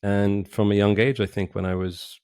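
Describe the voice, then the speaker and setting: A middle-aged man from Israel, a podcast guest, talking casually in a direct, reflective way with pauses and restarts. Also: on the low side, flat delivery, a little mellow, clean tone, no room sound, clean studio sound. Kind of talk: casual interview talk. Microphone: remote call.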